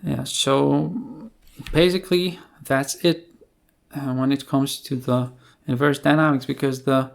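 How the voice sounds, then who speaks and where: A man presenting an unscripted screencast coding tutorial in a hesitant, halting way, with pauses between phrases. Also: medium pitch, fairly even intonation, vocal fry.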